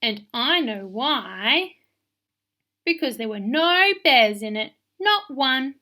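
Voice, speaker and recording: Woman playing a little girl, storybook narrator, high-pitched, bright, bossy, excitable, theatrical emphasis. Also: slow, full character voice. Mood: delighted, smug. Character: bossy, excitable little girl telling her own book. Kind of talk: expressive children's picture book read-aloud.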